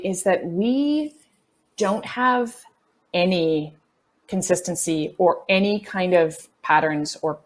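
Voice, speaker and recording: A woman in her forties talking casually as an interview guest, with an animated, emphatic and informal way of speaking. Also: medium pitch. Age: 40s. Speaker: podcast guest, actor.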